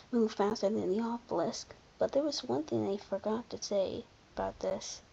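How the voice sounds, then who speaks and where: A commentator giving casual, unscripted video commentary in an informal, halting way, often backtracking. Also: mid-range voice, creaky, darkish tone, dull audio, a little hiss or hum. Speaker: video creator presenting a ranking.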